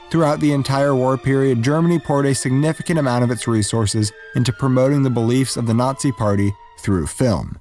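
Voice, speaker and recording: A man's voice: medium pitch, a little mellow. Man narrating a video essay over music. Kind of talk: scripted educational narration.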